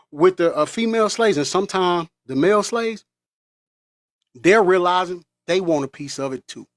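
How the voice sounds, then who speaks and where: A man's voice: high-pitched, no room sound, clean studio sound. An American man delivering an impassioned, preachy monologue as a vlogger. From United States.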